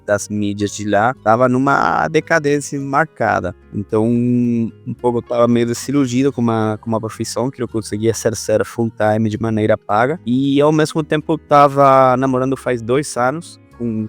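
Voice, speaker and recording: A man in his thirties from Argentina, a podcast guest speaking Portuguese with an Argentine Spanish accent, telling his story in a relaxed, rambling way. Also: mid-range voice. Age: 30s. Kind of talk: casual interview talk.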